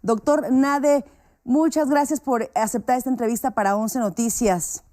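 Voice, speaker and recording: A woman's voice: a little high, fairly even intonation, moderate pace, dark tone. A woman news anchor interviewing a guest with polite, conversational questions.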